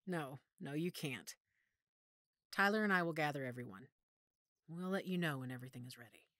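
A woman playing a motherly, firm female werewolf pack leader in an audio drama, measured and authoritative. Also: low-pitched, pushed and bright, dry recording, clean studio sound. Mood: warm, resolved.

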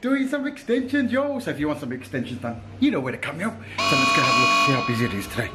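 Upbeat chatty man, high lively voice. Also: fast, over loud music. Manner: upbeat, chatty, tags lines with "yo". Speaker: vlogger and salon owner. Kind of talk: casual vlog chat to camera. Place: parked car, then a hair salon. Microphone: handheld camera held close.

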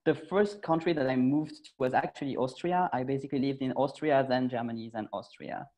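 A man in his thirties from France, a French native, chatting easily as a guest on a video call from a bright room at home. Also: medium pitch, a little fast, poor audio. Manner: relaxed, talks with his hands. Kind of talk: casual interview chat.

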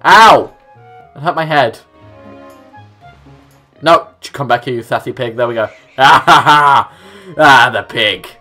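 A man's voice: mid-range voice, takes it slowly, pauses often, pushed and bright, soft background music. A young man hosting a gaming video, giving casual, joking commentary indoors on a headset. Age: young adult. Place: small indoor room.

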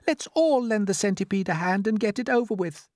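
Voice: mid-range voice, expressive, brisk, darkish tone, dry recording, clean studio sound, voice pushed up, close to an everyday voice. A reader voicing a polite, fretful female ladybird in a children's storybook, with genteel manners. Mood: brisk.

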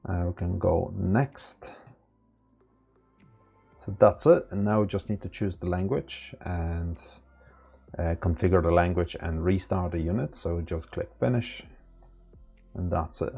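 A man in his thirties with a very low, gravelly voice talks through a software setup at an easy pace. He fills gaps with ums and uhs as he goes. Faint music sits under his voice. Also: measured pace, pauses often. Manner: relaxed, step-by-step with many fillers. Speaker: tech tutorial presenter. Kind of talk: casual screen-recorded tutorial. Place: small room indoors.

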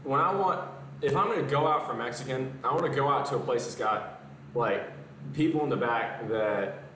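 A man's voice: mid-range voice, moderate pace, noisy background. Laid-back guy in an echoey garage gym. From American South. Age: young adult. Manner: laid-back, chatty, answers viewer comments between exercises. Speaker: fitness streamer. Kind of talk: casual live-stream chat. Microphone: camera mic at a distance. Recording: roomy garage echo, distant mic.

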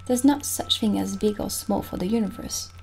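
Woman acting as gentle witch shopkeeper, ASMR roleplay, mellow, creaky, soothing, soft-spoken. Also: medium pitch, faint music behind, lightly acted. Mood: calm, helpful. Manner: soft-spoken, soothing, unhurried, trailing into breathy near-whispers. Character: gentle, kindly witch shopkeeper chatting with customers. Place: small indoor candlelit set.

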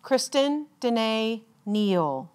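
Very slow-paced woman announcing names formally. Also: on the high side, big pitch swings, a little mellow, clean tone. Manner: measured, deliberate enunciation with pauses. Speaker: commencement announcer reading graduates' names. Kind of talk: formal ceremonial reading of names.

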